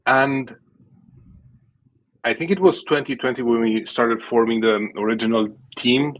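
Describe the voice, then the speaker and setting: A man's voice: medium pitch, unhurried. A man in his late thirties from Romania with a Romanian accent, a startup founder answering interview questions with many fillers and restarts. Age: late 30s. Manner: many fillers and restarts, fluent non-native English. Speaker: guest, gaming startup founder.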